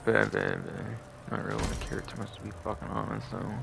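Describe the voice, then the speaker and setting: A man with a low, heavily creaky voice rambles through a casual gaming livestream. He curses constantly and repeats himself. Loud noise sits behind his voice. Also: level tone, fast talker. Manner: constant heavy swearing; repeats words and phrases. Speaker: game livestreamer. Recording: noisy background behind the voice.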